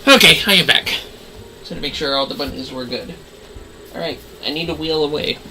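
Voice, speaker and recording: A man's voice: on the high side, fairly quick, background noise. A man giving casual, rambling livestream game commentary, swearing now and then. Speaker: game streamer.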